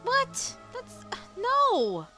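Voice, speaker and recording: A woman streaming a game and reacting in casual, informal, expressive commentary. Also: extremely high pitch, drawn-out words, faint music behind.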